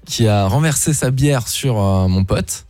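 A man's voice: medium pitch, animated, a little slow, a little mellow, dry recording. A man from Luxembourg speaking casually and informally, with slang, as a guest in an interview. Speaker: interview guest, online video creator.

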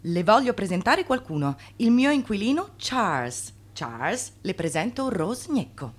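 A woman's voice: mid-range voice, big pitch swings, a little slow. An actress playing an effusive, gossipy landlady and piano teacher who plays matchmaker in an Italian radio drama. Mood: excited, calling out.

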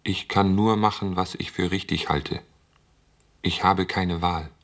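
A man playing a wounded, distrustful artificial being in a science fiction radio drama, speaking in slow, terse, stubborn statements. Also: low voice, steady tone, fairly bright tone. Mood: hurt, resolute.

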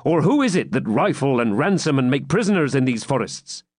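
Commanding yeoman, calm and steady, a bit lifted - guy reading it, dry close mic. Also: mid-range voice, no room sound, pitched above the performer's own voice. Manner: commanding, measured.

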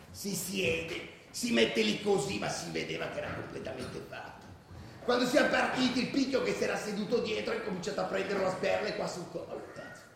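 An actor playing a rowdy, foul-mouthed football hooligan who tells his stories in a coarse, animated way in a radio drama. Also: high-pitched, creaky, a little hiss or hum, full character voice. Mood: amused, incredulous. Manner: coarse, animated, shouty storytelling. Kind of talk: radio drama monologue, spoken like street anecdote. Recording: roomy, distant echoing radio recording.

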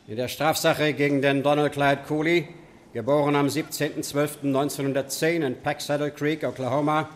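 An actor playing a stern judge delivering a verdict in formal, measured tones in a radio play. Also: male voice, on the high side, fairly even intonation, slight background noise, natural voice.

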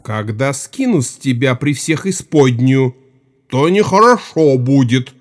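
An actor playing a gruff, gray-haired village Cossack who spins folk tales in a rambling, colloquial, self-satisfied way in an audio drama. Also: male voice, mid-range voice, expressive, natural voice. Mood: stern, threatening. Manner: rambling, unhurried, colloquial, savoring the tale.

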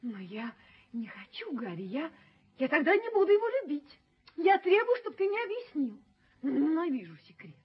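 A very high, theatrical young woman's voice plays a proud, hot-tempered fiancée in a 1970s radio play. She is imperious and easily wounded. The recording is muffled and lo-fi. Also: big pitch swings, moderate pace. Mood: coaxing, insistent. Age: young adult. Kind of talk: Soviet radio play acting.

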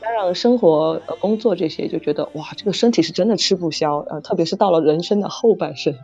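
A middle-aged woman author chatting casually about writing, halting and repeating phrases as she searches for words. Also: lowish voice, warm tone. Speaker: novel author. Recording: dull lo-fi audio, music underneath. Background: background music.

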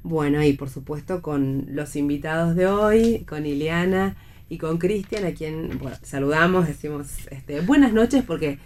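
A woman's voice: sits low, unhurried, a little mellow. A woman with an Argentine accent hosting a casual radio talk show with guests. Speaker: radio host.

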